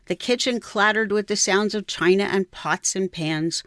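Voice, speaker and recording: A woman's voice: medium pitch, normal speed, fairly bright tone, dry recording, clean studio sound. A woman in her sixties with an American accent, reading her own memoir as scripted narration. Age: 60s.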